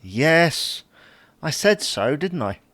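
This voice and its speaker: A mild, baffled husband played by a man in a domestic audio comedy. He is wry and resigned, and his pitch moves about animatedly. The recording is close and dry. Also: very animated, slow, fairly bright tone, no room sound, heavily acted. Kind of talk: domestic audio sitcom acting.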